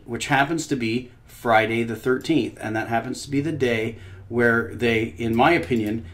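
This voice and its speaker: A man narrates his screen as he walks through stock charts and web posts in a relaxed, off-the-cuff way. His pitch sits in an ordinary middle range. He hesitates often with small fillers and slips in blunt, doubting remarks. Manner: conversational, frequent pauses and "uh" fillers; skeptical, opinionated asides. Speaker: financial commentary host. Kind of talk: casual screen-share market commentary.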